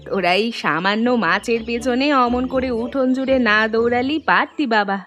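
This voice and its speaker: A woman voicing a caring, gently scolding older village mother in an animated folk tale. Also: on the high side, normal speed, soft background music, close to an everyday voice. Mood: teasing scolding.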